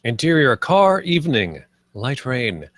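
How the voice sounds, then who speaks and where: Guy reading screenplay stage directions, medium pitch, dry recording. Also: big pitch swings, a little slow, fairly bright tone, no room sound. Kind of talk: scripted narration at a screenplay table read.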